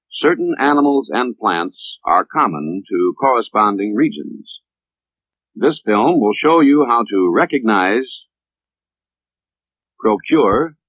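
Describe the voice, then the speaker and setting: An American man narrating a scripted 1950s instructional training film in a measured, didactic, formal manner, on an old film soundtrack. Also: fairly low pitch, dry recording. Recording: tinny, band-limited 1950s film soundtrack.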